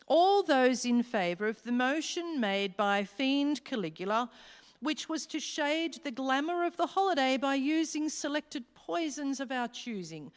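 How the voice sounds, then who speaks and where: A woman playing a commanding devil who presides over fiends in a rhyming radio play, speaking deliberately and theatrically. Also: a little high, clean tone. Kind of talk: staged radio play acting. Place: live stage in a hall. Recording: echoey hall with long reverb.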